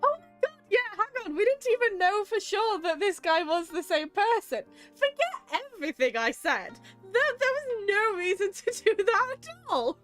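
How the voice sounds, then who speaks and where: A woman giving casual, expressive gameplay commentary as a psychologist streamer. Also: extremely high pitch, moderate pace. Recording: game music behind. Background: game music.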